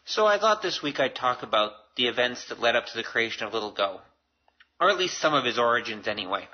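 A man's voice: medium pitch, very animated, fast talker, lo-fi sound. A middle-aged Canadian man hosting a casual solo podcast, chatty and self-deprecating, given to rambling asides. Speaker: podcast host.

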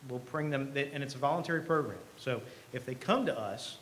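A middle-aged man giving a conversational keynote speech at a podium microphone, earnest and emphatic, with deliberate pauses. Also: medium pitch, a bit bright, low-quality recording. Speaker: company president, keynote speaker. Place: event hall stage. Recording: live podium speech with clear background noise.

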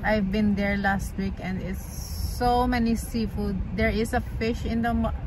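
A woman's voice: mid-range voice, steady tone, normal speed, noisy background. A woman in her thirties chatting casually to vlog viewers in non-native English phrasing. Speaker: family vlogger. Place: in a car, then inside a busy market hall. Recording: music over the voice.

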